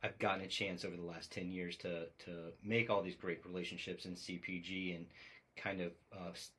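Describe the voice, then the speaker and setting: A man in his forties with a very low, deep voice chats on a casual podcast. He tells his story in a reflective, rambling way, full of fillers and restarts. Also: little pitch movement, normal speed, a bit bright. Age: 40s. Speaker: podcast co-host.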